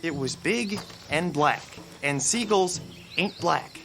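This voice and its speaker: An American actor playing an excitable, naive young infantry soldier in a radio drama, talking in colloquial slang. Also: male voice, on the high side, slow, creaky, background noise, full character voice. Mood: argumentative.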